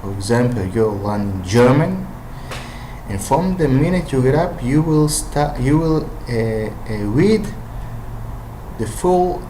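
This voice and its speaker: A man's voice: mid-range voice, expressive, takes it slowly, mellow, slight background noise. A man with an Israeli accent and Hebrew as his first language, giving language-learning advice in a hesitant, casual monologue full of fillers and restarts. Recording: roomy echo.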